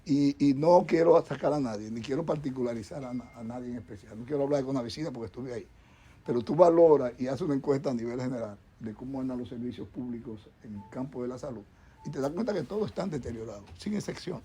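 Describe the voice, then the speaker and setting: A man's voice: mid-range voice, fast talker, dark tone. A middle-aged man with a Dominican accent, a doctor speaking as a guest on a talk show panel, rambling with many restarts and repeated words. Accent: Dominican Spanish. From Dominican Republic.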